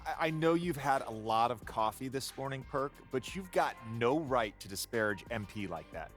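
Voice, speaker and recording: An actor playing an earnest, measured male sports TV pundit who stammers when flustered. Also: medium pitch, animated, soft background music, close to an everyday voice. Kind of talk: video game cutscene acting.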